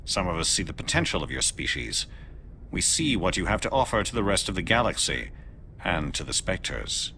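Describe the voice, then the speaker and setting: Stern, deep, intense alien agent. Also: male voice, sing-song, sharp tone, a little hiss or hum, full character voice. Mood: measured, earnest. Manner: measured, deliberate, formal. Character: calm, stern male alien elite agent, measured and probing. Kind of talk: video game voice acting.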